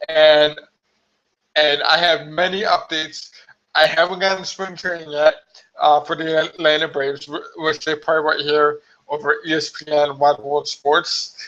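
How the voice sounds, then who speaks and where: A hoarse man chatting casually on a live stream from home, rambling with many fillers. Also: a little high, bright, forceful tone. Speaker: vlogger hosting a live stream. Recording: slightly dull lo-fi live stream audio.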